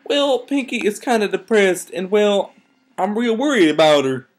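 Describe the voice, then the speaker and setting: Woman putting on a higher-pitched folksy farm pony, lively and husky. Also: moderate pace, natural voice. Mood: earnest, worried. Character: friendly folksy female cartoon farm pony, worried for friend.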